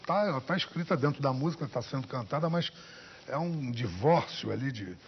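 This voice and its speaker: A man, a songwriter and poet, talking in an unhurried, musing way as an interview guest. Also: medium pitch, lively intonation, highs cut off, a little hiss or hum. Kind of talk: relaxed interview talk. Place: television studio.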